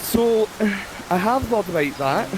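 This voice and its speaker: Man playing an earnest peg-legged swordsman, higher-pitched, hissy. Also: lively intonation, measured pace. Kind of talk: improvised in-character roleplay in a fantasy game. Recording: hissy, noisy live game voice chat.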